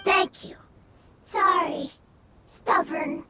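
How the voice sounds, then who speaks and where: An actor voicing a small, gentle, childlike creature in a video game, with halting broken speech and simple words. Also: extremely high pitch, fairly even intonation, slight background noise, full character voice. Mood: earnest.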